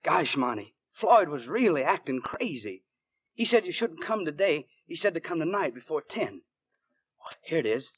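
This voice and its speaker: This is a simple, anxious man running errands in an old radio play. His voice is husky and on the high side. He sounds naive and fretful, speaking plainly. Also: very animated, moderate pace, a bit bright, full character voice. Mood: fretful, eager. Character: anxious, simple-natured male errand messenger. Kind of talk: 1940s radio drama acting.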